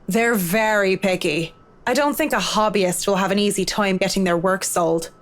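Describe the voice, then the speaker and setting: A woman's voice: mid-range voice, normal speed, lightly acted. A woman voicing a blunt, dry-witted designer. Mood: cool. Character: blunt, self-assured woman interior designer. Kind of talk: video game voice acting.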